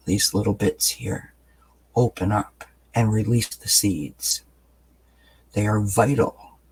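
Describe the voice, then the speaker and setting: Male tarot reader, low husky register, raspy from just waking; soft-spoken, unhurried, filler-heavy delivery. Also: low-quality recording. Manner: soft-spoken, relaxed, meandering with many fillers. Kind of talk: gentle daily tarot reading. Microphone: camera mic, above-view camera.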